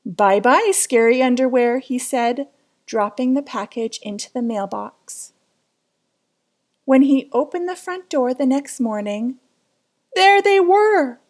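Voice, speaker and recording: Female children's storybook reader, higher register, unhurried, dramatic and suspenseful. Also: a little mellow. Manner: dramatic, suspenseful delivery with drawn-out words. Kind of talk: children's picture book read-aloud.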